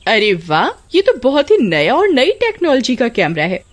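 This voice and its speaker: A woman's voice: fairly high pitch, wildly swooping pitch, normal speed. A woman playing a breezy, teasing young best friend in a story, with a sing-song, dismissive manner.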